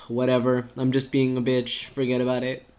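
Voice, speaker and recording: A man's voice: mid-range voice, monotone, moderate pace, a little mellow, lightly acted. A man voicing a vain, irritable, sarcastic teenage girl with a biting, emphatic delivery. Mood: snippy.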